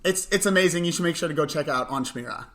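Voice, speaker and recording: Young male podcast co-host, higher-pitched, bright, deadpan mock-news delivery. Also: fast talker. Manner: dry, mock-serious. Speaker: co-host of a camp morning show podcast. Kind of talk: chatty news and mailbox segment.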